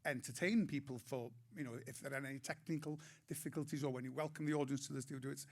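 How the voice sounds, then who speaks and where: A man's voice: medium pitch, steady tone, fast, vocal fry. A middle-aged Welsh man with a Welsh accent, a comedian speaking as a panel guest, self-deprecating and prone to restarting his sentences. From Wales. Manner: self-deprecating, repeats words when starting sentences. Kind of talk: panel discussion.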